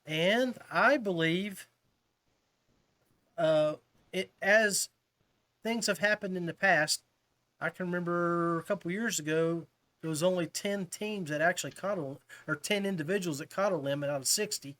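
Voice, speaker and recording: A man's voice: sits high, normal speed, a bit bright. A man hosting a fishing podcast in casual chat, talking informally like a buddy. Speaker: podcast host.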